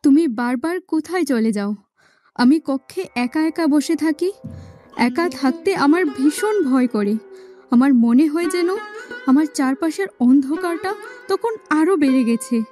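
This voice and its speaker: A wistful young cartoon princess voiced by a woman speaks in a high, soft-toned voice. She is plaintive and questioning, lonely and fearful, with faint music behind her. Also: animated, normal speed. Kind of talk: melodramatic cartoon dialogue. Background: background music.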